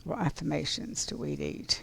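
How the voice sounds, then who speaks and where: A woman with a scratchy voice from pollen, doing a casual oracle card reading in an unhurried, reflective way. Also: deep voice, expressive, creaky, a bit bright. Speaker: spiritual card reader.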